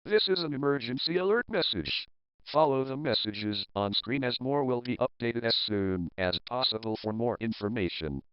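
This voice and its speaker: Smooth male emergency alert announcer. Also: mid-range voice, animated, normal speed, long pauses, no room sound, lo-fi sound.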